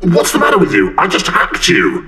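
A man's voice: a little high, big pitch swings, moderate pace, pushed and bright, faint music behind. A man playing a cocky, sarcastic saboteur in a science fiction audio drama, flippant and mocking in the way he talks. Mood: sarcastic, dismissive.

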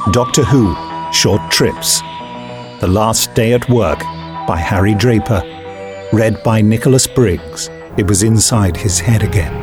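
A man's voice: lowish voice, close to an everyday voice. A man reading dramatic scripted fiction as an audiobook narrator.

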